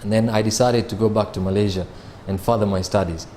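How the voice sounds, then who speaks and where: Deep-voiced man, measured. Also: steady tone, mellow, slight background noise. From Kenya. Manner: measured, restarts often, many "uh" fillers. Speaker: sales manager. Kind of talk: interview answers.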